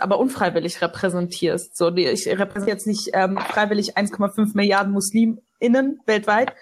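A woman in her twenties from Berlin, chatting casually on a podcast in a lively, colloquial way. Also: mid-range voice. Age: 20s. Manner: lively, colloquial, laughs easily. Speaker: podcast guest.

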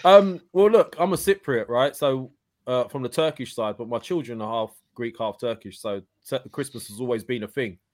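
A man's voice: mid-range voice, normal speed. A man chatting casually as a podcast guest, jokey and teasing in a blokey way. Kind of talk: casual chat.

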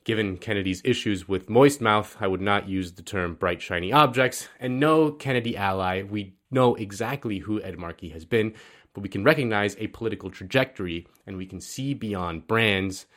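A man's voice: fairly low pitch, moderate pace, fairly bright tone. A male host giving sarcastic political commentary, mocking and wry as he reads quotes. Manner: sardonic, mocking.

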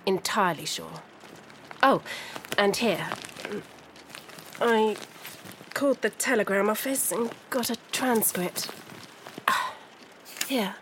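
An actress playing a composed, proud industrialist's wife in a noir audio drama, wry and precise. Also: female voice, mid-range voice, slow, pauses often, fairly bright tone, a little hiss or hum, rough recording. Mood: composed, insistent.

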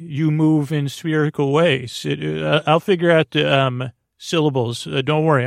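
A man with a creaky voice hosting a bedtime podcast, talking in a meandering, halting, whimsical way full of tangents. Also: fairly high pitch, dry recording, clean studio sound. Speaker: podcast host. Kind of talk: rambling, tangent-filled bedtime monologue.

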